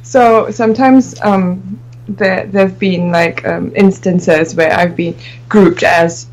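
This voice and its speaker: A woman's voice: medium pitch, steady tone, slow, darkish tone, rough recording. A young woman from Asia, co-hosting a casual chat and often breaking into giggles mid-sentence.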